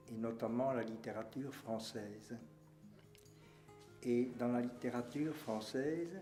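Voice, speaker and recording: Elderly man, mellow and echoey. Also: medium pitch. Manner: measured, earnest storytelling. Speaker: witness. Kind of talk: personal testimony. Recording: echoey room.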